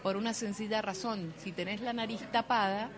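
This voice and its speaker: A woman with an Argentine accent, a doctor giving health advice on a radio show in a lively, explanatory way. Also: medium pitch, moderate pace, a crowd in the background. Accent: Argentine Spanish. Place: radio studio.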